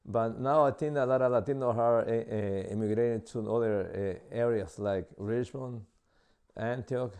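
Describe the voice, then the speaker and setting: A man in his sixties from El Salvador, with a Salvadoran Spanish accent, answering interview questions in halting English full of pauses and fillers. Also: medium pitch, warm tone, clear, resonant tone. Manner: halting, many uh fillers and restarts.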